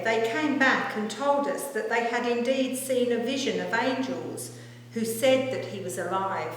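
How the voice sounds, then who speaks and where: A woman's fairly high voice rings through a very echoey hall with a long reverb tail. She reads scripture as a church minister would, solemn and formal. Her delivery is measured yet expressive, like telling a story to be heard. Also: a little hiss or hum. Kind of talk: solemn Gospel reading aloud.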